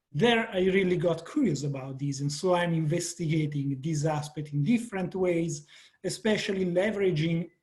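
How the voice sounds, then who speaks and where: A man's voice: high voice, darkish tone. A man from Italy with an Italian accent, speaking as a panelist at an online academic conference, earnest and often restarting his sentences. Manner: earnest, searching for words, frequent restarts.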